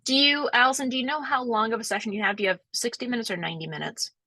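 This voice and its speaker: A woman's voice: fairly high pitch, rapid-fire, bright, forceful tone, no room sound. Chatty Rhode Island woman hosting. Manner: friendly, chatty, reassuring, many fillers. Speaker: webinar host, conference organizer. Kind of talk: casual chat, answering questions. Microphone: video call.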